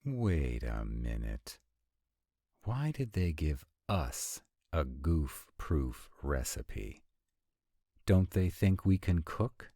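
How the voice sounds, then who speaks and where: Male actor as sardonic vampire, audio roleplay, husky rumble, unhurried, exasperated. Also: very animated, dark tone, no echo, studio quality, heavily acted. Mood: puzzled, proud. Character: grumpy male vampire mate, sardonic and proud. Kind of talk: scripted roleplay audio drama.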